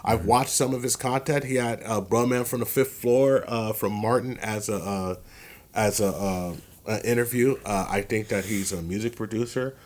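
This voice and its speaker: A man with a very low voice co-hosts a relaxed reaction podcast. He talks with emphasis and circles back over his phrases to drive a point home. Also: moderate pace. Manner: emphatic, repeats phrases while building a point. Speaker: podcast co-host. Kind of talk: casual reaction chat.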